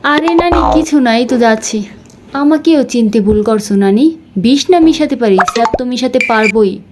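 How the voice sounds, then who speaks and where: A woman's voice: on the high side, lively intonation, moderate pace, lightly acted. A woman voicing a cheeky, exasperated young granddaughter in an amateur comic cartoon, outspoken and sarcastic. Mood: exasperated, sly. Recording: clearly noisy track with clicks.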